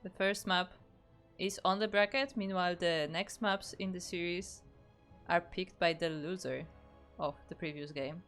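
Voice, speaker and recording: A woman's voice: fairly low pitch, steady tone, measured pace, fairly bright tone, soft background music. Chatty Polish-accented woman caster. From Poland. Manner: chatty, reads out chat, frequent restarts. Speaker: esports caster. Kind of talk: live game commentary.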